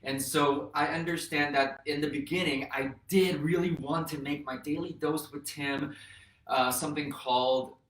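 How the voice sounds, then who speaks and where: A man's voice: a little high, fairly even intonation, moderate pace, a bit bright. Earnest man, native Mandarin speaker, echoey room. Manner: earnest, encouraging, addresses viewers as "you guys". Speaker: host, real estate educator. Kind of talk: motivational vlog talk to camera. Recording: roomy echo, mic at a distance.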